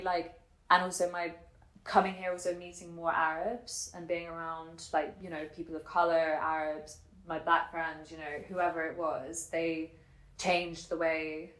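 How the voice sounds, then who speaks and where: A woman in her twenties telling her personal story casually and reflectively, thinking aloud in halting, informal phrases. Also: low voice. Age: 20s. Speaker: interviewee telling her family and life story. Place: indoor room in Germany. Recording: roomy echo on the voice.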